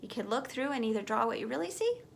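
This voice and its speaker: A woman's voice: fairly high pitch, big pitch swings, quick pace, creaky. A woman teacher reading a children's picture book aloud, expressively, as if putting questions to young listeners.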